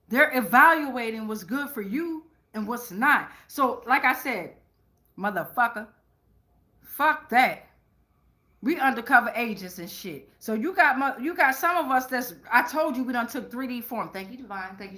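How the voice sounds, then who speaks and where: Female tarot reader, forceful bright tone, higher pitch; casual, rambling, profane, slang-heavy delivery. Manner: rambling, with frequent "right?" check-ins. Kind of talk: casual tarot reading monologue.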